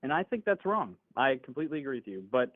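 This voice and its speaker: A man in his twenties calling into a livestream, talking in a measured, hedging, polite way. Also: mid-range voice, sing-song, no echo. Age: 20s. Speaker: caller. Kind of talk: casual political debate. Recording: tinny phone-like call audio, dry.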